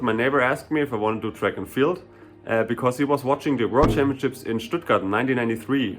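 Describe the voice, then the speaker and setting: Young adult male, German accent, easygoing vlogger, medium pitch, faint guitar music behind. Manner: relaxed, chatty, many fillers like "you know" and "like". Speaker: sprinter and hurdler. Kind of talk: casual Q&A vlog.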